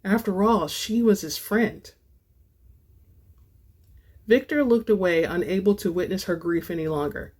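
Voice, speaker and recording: Expressive woman hosting a book reading. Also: medium pitch, a little slow, pauses often, husky. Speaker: host and reader of book samples. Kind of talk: casual chat and dramatic book narration.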